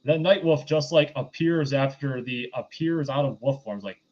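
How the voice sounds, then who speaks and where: Man rambling casually, slightly muffled lo-fi sound. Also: mid-range voice. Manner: rambling, halting, conversational. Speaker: podcast host reviewing a film. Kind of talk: casual, unscripted movie recap. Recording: dull, lo-fi voice recording.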